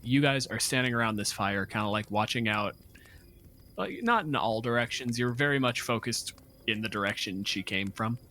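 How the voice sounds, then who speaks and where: A man's voice: medium pitch, moderate pace, bright, forceful tone, slight background noise. A man running a tabletop game as game master, narrating and explaining rulings in a casual, profane way.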